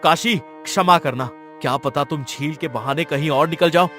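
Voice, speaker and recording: A man's voice: on the high side, moderate pace, bright, forceful tone, faint music behind. A man playing a lovestruck, commanding king in a cartoon story, speaking in formal, stately diction. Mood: earnest, regal. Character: royal king, commanding, lovestruck by a dream woman. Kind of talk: Hindi cartoon story dialogue.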